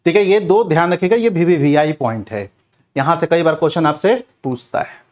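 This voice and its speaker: A man's voice: fairly high pitch, fairly quick, a little mellow. A man giving a question-and-answer revision lecture as an exam coaching teacher, instructive and often repeating check phrases.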